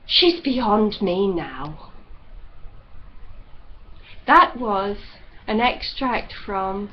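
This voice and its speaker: A woman reading a novel aloud as expressive literary narration. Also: medium pitch, lo-fi sound, slight background noise. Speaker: audiobook reader.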